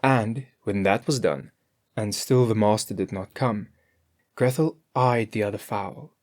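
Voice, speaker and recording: A storyteller reading a scripted fairy tale in an expressive, measured way. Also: mid-range voice.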